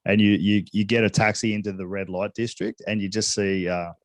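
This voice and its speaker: A man with a fairly low voice talks casually as co-host of a sports podcast. He is measured and tends to understatement, hedging as he goes. The recording is dry and close. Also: little pitch movement, no room sound. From Hong Kong.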